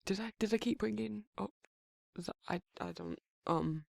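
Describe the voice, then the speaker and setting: An actor playing a shy, protective werewolf in an intimate romantic ASMR roleplay, with a breathy, husky, hesitant and stammering delivery. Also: medium pitch, normal speed, no echo, studio quality. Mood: bashful, nervous. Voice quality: husky, breathy, gravelly, often whispering. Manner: hesitant, rambling, stammering, bashful. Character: shy, awkward, protective male werewolf, big and tender.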